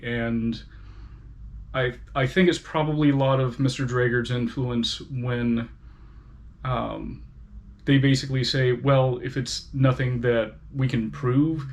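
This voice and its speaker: A man hosting his own channel, giving a casual spoken book review with careful pauses and fillers. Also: mid-range voice.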